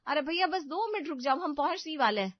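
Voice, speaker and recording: A woman doing a hurried young mother, high-pitched. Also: lively intonation, dry recording. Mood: urgent. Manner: urgent, pleading. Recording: dull, muffled audio.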